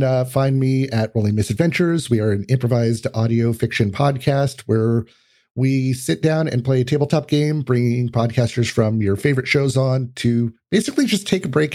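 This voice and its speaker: A man's voice: mid-range voice, a little mellow. A man speaking as a podcast guest, explaining things in casual, conversational interview chat.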